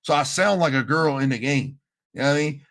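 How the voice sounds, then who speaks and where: A man's voice: on the high side, quick pace. A man telling gaming stories on a casual podcast in slangy, profane talk, acting out the exchanges as he goes. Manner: slangy, profane, animated retelling with acted-out quotes. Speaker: podcast host.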